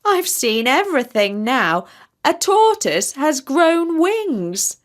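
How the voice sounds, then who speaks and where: A woman's voice: high-pitched, very animated, slow, pitched above the performer's own voice, exaggerated voice. A woman voicing a gossipy, mocking goose in a children's storybook, jeering and exclaiming. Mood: mocking, amused.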